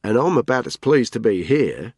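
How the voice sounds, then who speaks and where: A man's voice: mid-range voice, big pitch swings, normal speed, darkish tone, husky, dry recording, clean studio sound, voice pushed up. A man voicing a curt Australian woman. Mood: cutting. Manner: curt, cutting. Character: tough, blunt Australian woman, about thirty.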